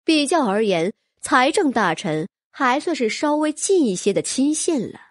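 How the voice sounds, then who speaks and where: A woman's voice: high voice, big pitch swings, moderate pace, no room sound, clean studio sound. A woman reading a fantasy novel as scripted audiobook narration.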